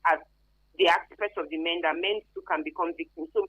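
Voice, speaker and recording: A woman's voice: lowish voice, steady tone, brisk, breathy edge, dry recording. A woman with a Nigerian accent explaining things emphatically and spontaneously as an interview guest, heard over a thin phone line. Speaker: expert guest on human trafficking. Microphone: phone call.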